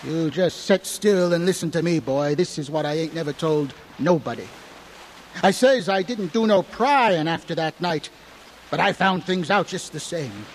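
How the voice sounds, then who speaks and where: Male actor as old New townsman, high cackling voice, frightened, unhinged, rambling country dialect, horror audio drama, faint background noise. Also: lively intonation, moderate pace, natural voice, rough recording. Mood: urgent, ominous. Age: older. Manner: rambling folksy dialect, agitated.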